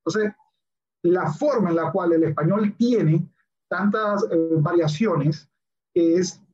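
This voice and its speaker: Hombre venezolano de lengua materna inglesa, voz aguda y bromista, sonido apagado de baja calidad, invitado de pódcast. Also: male voice, high voice, slow pace, darkish tone. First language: English. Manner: playful, joking storyteller. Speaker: guest, lawyer and philosopher. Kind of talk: casual podcast conversation. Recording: dull lo-fi sound.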